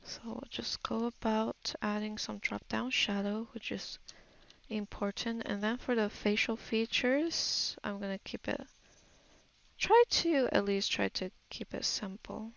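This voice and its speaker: A woman's voice: medium pitch, unhurried, gravelly, a bit bright, low-quality recording. A woman giving an unscripted art tutorial voice-over, musing hesitantly as she thinks aloud while she works. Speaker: digital artist giving a tutorial. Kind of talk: unscripted voice-over of a painting process.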